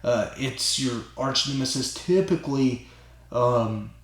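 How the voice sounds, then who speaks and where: A man hosting his own channel tells a long story in a casual, excited way, rambling and often tagging lines with "right?" and "man". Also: mid-range voice, slow pace. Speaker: channel host. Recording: roomy echo.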